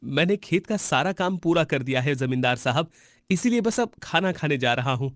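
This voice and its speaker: A man's voice: a little high, animated, normal speed, dry recording, clean studio sound, lightly acted. A man voicing a meek, poor old farm labourer in a cartoon story, with a submissive, pleading manner. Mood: meek, weary. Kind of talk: Hindi cartoon story voice acting.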